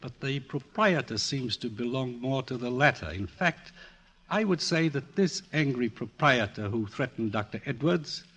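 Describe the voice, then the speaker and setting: An actor playing a suave, condescending older psychiatrist with hidden menace, urbane and dry, in an old-time radio drama. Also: male voice, mid-range voice, expressive, moderate pace, fairly bright tone, slightly rough. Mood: dry, guarded. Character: suave, menacing senior male psychiatrist, secretly murderous. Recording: restored vintage radio, orchestral music behind.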